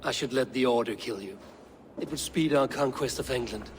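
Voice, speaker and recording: An actor playing a bold, defiant Norse warrior in a video game, speaking in a measured, sardonic way. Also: male voice, medium pitch, lightly acted. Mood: challenging. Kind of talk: dramatic video game acting. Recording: noisy, long hall reverb.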